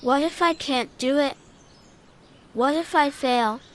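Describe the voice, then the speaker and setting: A woman's voice: high-pitched, slow pace. A woman voicing a shy, insecure young male lion cub in a children's storybook, earnest and timid. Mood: shy, questioning.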